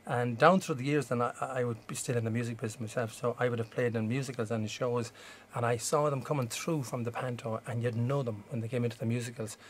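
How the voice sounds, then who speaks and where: A man's voice: mid-range voice, steady tone, fast, few pauses, slight background noise. A middle-aged Irish man speaking reflectively in an interview, with conversational phrasing. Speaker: musician and former pantomime volunteer.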